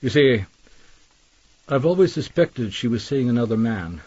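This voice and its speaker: Husky, genteel schemer. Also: male voice, medium pitch, animated, moderate pace, a little mellow, dry recording, dull audio. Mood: brooding. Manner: genteel, wordy, insinuating. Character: suave scheming male employer, widowed, politely commanding. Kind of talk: radio drama acting.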